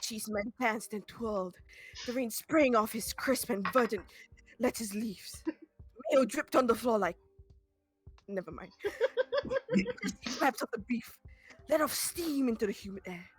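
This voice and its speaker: Giggly, high-voiced Singaporean woman reading dramatically. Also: unhurried, long pauses, husky, no echo, soft background music, rough recording. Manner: giggly, breaks up mid-sentence; sweary. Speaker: reader on a live fan fiction stream. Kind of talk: dramatic comedic reading and casual banter.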